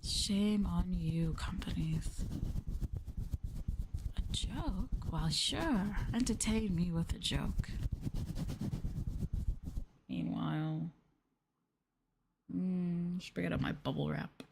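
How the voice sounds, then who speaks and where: Casting: female ASMR streamer, hushed and gravelly, gentle rambling casual chat, audible noise in the recording. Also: on the low side, drawn-out words, long pauses. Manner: gentle, hushed, rambling, drifting at times into whispers. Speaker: streamer and VTuber host. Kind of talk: soft-spoken ASMR casual chat to live viewers.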